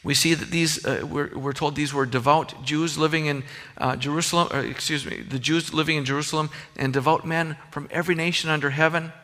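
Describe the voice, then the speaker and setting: A man with a Minnesota accent delivers a sermon in a bright, forward voice that sits a little high for a man. He preaches earnestly and conversationally, with a long reverb trailing his words. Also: steady tone, brisk. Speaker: pastor.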